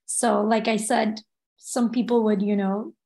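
A young woman from Los Angeles, a guest speaking softly and reflectively in a casual interview, with many fillers. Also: medium pitch, fairly even intonation, measured pace, vocal fry. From Los Angeles, United States. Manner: soft-spoken in English.

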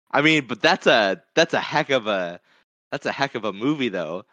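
A man's voice: medium pitch, expressive, normal speed, pushed and bright, no room sound, highs cut off. Man chatting casually, close dry sound. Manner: rambling, repeats phrases. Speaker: podcast co-host. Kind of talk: casual movie podcast chat.

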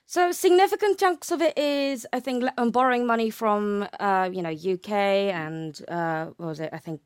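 A woman's voice: medium pitch, normal speed, clean tone, no room sound, studio quality. A young woman speaking as a guest expert, explaining conversationally and often searching for the right words. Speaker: guest expert, PhD researcher in war studies.

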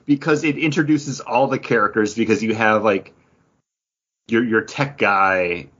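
Man, podcast host, casual rambling review chat, husky voice, slightly muffled lo-fi sound. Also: lowish voice, a little slow, a bit bright. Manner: rambling, peppered with "like".

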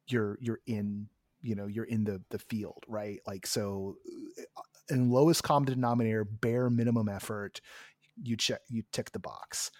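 A man hosting a podcast, hoarse and tired, talking in a rambling way with many restarts and asides. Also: medium pitch, a little mellow, no echo, studio quality. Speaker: podcast host. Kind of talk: casual chat and solo monologue.

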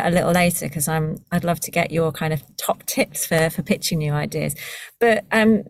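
A woman interviews a guest in a relaxed, conversational style. Her voice sits a little low and the recording is dry. She sounds encouraging, feeling her way through the wording of questions. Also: gravelly, no room sound. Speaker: interviewer, podcast host.

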